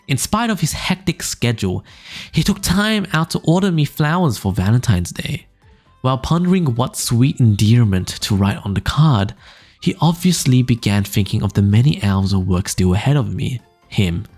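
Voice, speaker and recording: A young man hosting a casual podcast, reading funny stories aloud in a smooth low voice, rambling with fillers and small laughs. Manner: relaxed, rambling, frequent fillers and little laughs. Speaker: podcast host. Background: faint background music.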